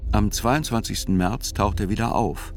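Radio play narrator, male actor: probing, dryly ironic chronicler, lowish pitch, measured scripted delivery. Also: a little mellow, faint music behind, lightly acted.

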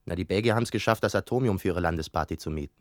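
An actor playing a sociable young Italian office colleague in a radio drama, breezy, insistent and persuasive. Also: male voice, sits low, very fast, no echo, clean studio sound, lightly acted.

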